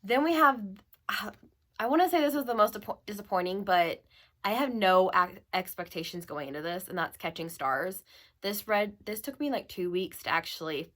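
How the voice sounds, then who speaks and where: A woman book vlogger chatting casually and informally to her viewers with rambling asides, her voice a little gravelly as she recovers from being ill. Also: mid-range voice, expressive, normal speed, a bit bright. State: recovering from illness, slightly gravelly voice. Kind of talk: casual chatty monologue to camera.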